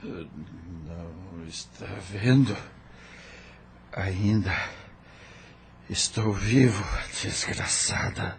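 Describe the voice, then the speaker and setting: A man's voice: deep voice, extremely slow, long pauses, a little hiss or hum. A man playing a wounded, defiant prisoner in an audio drama, bitter yet steadfast in his faith. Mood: weary bitterness.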